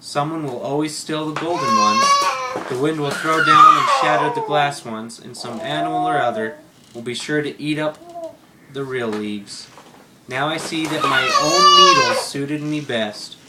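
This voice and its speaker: A man's voice: on the high side, wildly swooping pitch, a little slow. A man voicing a wistful, vain little pine tree who muses plaintively to himself in a storybook. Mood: rueful. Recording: very noisy home recording with clicks.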